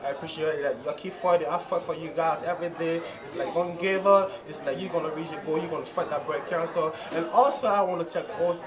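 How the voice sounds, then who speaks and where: Young guy from liberia, earnest, keeps repeating himself, slightly high voice, loud crowd behind. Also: steady tone, fast, hardly any pauses. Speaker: bodybuilding champion. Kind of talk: post-show interview. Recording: loud crowd at a contest venue.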